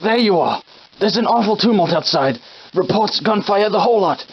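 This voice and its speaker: An actor playing a genteel, verbose shopkeeper with a villain's streak, in formal, archaic, theatrical game dialogue. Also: male voice, high voice, animated, normal speed, breathy edge, dull audio, heavily acted. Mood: alarmed. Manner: formal, archaic, florid wording. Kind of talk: theatrical period game acting.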